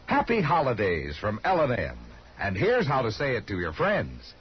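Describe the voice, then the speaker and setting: A man's voice: mid-range voice, wildly swooping pitch, normal speed, breathy edge. An American male announcer reading a 1950s radio commercial with polished, upbeat salesmanship. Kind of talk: 1950s radio advertising read. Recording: muffled old radio advert. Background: loud jingle music.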